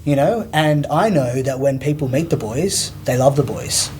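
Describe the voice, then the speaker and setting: A man's voice: mid-range voice, moderate pace, darkish tone, slight background noise. A man working as a teacher, talking casually and earnestly with many fillers. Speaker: work experience teacher. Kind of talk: casual conversation.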